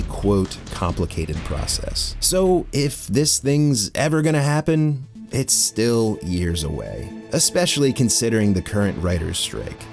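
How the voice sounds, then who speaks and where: A man's voice: fairly low pitch, a little mellow. A man narrating a scripted video essay as its host, in a conversational way with wry asides.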